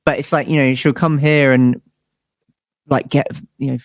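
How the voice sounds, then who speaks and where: A British man speaks casually as an interview guest, in a slightly low voice. He rambles informally through his stories like a musician among peers. The sound is dry, with very little room to it. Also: fairly even intonation, normal speed, no room sound. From United Kingdom.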